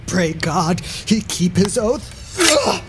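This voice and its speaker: An actor playing a cowardly, foolish, whining knight in a Shakespearean audio drama. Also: male voice, high voice, takes it slowly, heavy background noise. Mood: nervous cowardice.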